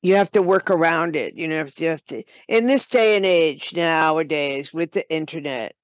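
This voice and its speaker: A woman giving explanatory, halting answers as a guest in an unscripted interview. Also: low voice, no room sound. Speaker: podcast guest, writer and producer.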